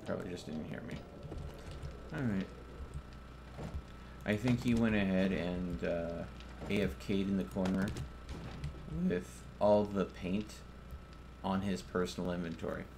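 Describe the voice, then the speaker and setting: A man talks casually through a live game stream. There is clear noise behind him, with keyboard typing. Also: sits low, measured pace, long pauses. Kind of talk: casual live gameplay commentary and chat. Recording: noisy gaming setup with keyboard clatter. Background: keyboard typing, steady background noise.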